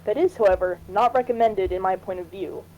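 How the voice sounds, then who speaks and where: A woman's voice: mid-range voice, fairly quick, mellow, highs cut off, lightly acted. A woman voicing a firm, cautious cabinet minister in a fan reading of a political game, formal and insistent. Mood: firm, cautioning. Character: firm, cautious female cabinet minister defending state planning. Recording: music behind the voice.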